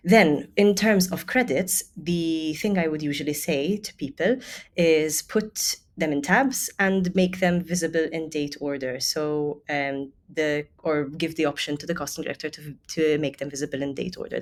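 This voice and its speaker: Low-voiced Maltese woman, chatty tutorial. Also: slight background noise. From Malta. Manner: informal, chatty, emphatic on key words. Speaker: stage actor giving advice to self-represented actors. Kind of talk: casual tutorial walkthrough.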